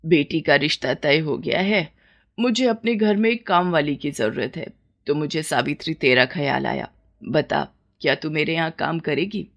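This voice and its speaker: A woman voicing a rich, practical village mistress with a measured, matronly manner in a moral story. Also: low voice, gravelly, pitched below the performer's own voice. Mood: businesslike. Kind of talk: dramatized Hindi moral story.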